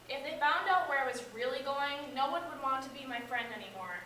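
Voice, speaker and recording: A woman's voice: high voice, a little fast, pushed and bright. Young woman as shy schoolboy, very echoey room. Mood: embarrassed, hesitant. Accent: American. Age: young adult. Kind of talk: amateur reader's theater. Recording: echoey room, distant microphone, background hiss.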